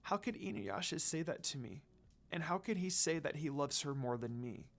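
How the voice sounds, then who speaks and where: A woman voicing a gentle, heartbroken teenage girl in a story reading, with a soft, murmuring delivery. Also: low-pitched, animated, moderate pace, vocal fry.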